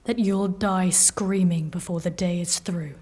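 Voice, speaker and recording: An actress playing a defiant, haunted fantasy warrior in an audio drama, terse, intense and hushed. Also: female voice, on the low side, fairly even intonation, unhurried.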